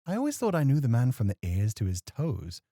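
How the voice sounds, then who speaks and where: A man's voice: mid-range voice, huge pitch swings, brisk, dry recording, studio quality. A man playing a gracious, teasing professor moonlighting as a club host in a flirty ASMR roleplay. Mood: fond, gracious. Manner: teasing, playful, softly courteous. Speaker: voice actor.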